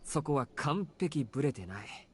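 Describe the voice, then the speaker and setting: An actor voicing a calm, blunt teenage boy protagonist, speaking in a dry, matter-of-fact way. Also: on the high side, a little fast, slight background noise. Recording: game audio.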